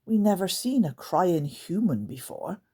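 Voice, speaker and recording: A woman's voice: fairly low pitch, big pitch swings, measured pace, warm tone, breathy edge, exaggerated voice. A woman voicing Grunt, a grumpy, bossy male night creature who barks his words in broken grammar. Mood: gruff.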